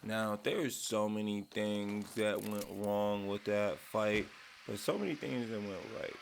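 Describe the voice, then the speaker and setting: Raspy sick dude ranting n swearing from his man cave, gravelly voice. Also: lowish voice, steady tone, unhurried, a little hiss or hum. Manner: profane, heated rant. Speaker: channel host. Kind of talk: casual ranting commentary.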